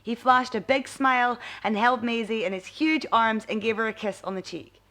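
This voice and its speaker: A woman narrating a scripted bedtime story in an expressive audiobook style. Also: a little high, moderate pace.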